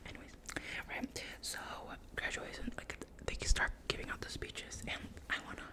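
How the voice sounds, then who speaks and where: A young woman whispering a rambling personal story, full of "like" and self-corrections, in the style of an ASMR storytime. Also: moderate pace. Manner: soft whisper throughout. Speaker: vlogger.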